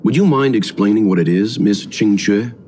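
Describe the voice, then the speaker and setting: A man voicing a polite, composed, inquisitive gentleman in a scripted video game. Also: fairly low pitch, moderate pace, a little hiss or hum, natural voice. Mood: polite, inquiring.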